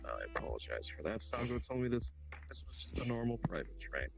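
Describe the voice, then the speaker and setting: A man playing a hesitant, conciliatory adventurer who speaks for his party in an improvised online fantasy roleplay, halting and wry. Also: medium pitch, big pitch swings, vocal fry. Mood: diplomatic. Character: hesitant, diplomatic male adventurer, the party's spokesman. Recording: background music under the voice.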